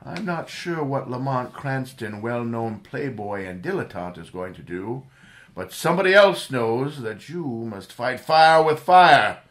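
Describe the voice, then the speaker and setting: A man acting a calm, commanding playboy crime-fighter in a 1930s-style radio drama reenactment. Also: mid-range voice, measured pace. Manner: cool, commanding, reassuring.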